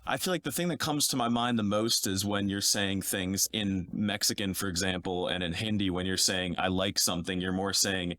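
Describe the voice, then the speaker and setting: A man's voice: deep voice, steady tone, normal speed, hardly any pauses, pushed and bright, dry recording. A man in his twenties, a guest on a podcast, chatting casually in a laid-back, self-deprecating way with lots of fillers. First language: English. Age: 20s. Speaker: guest polyglot.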